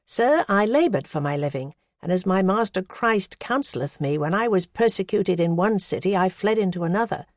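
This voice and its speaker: A woman's voice: animated, moderate pace, few pauses, no room sound, studio quality, close to an everyday voice. A woman voicing a defiant, pious woman who scolds her accusers with rising passion. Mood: earnest. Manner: impassioned, scolding, rising pitch.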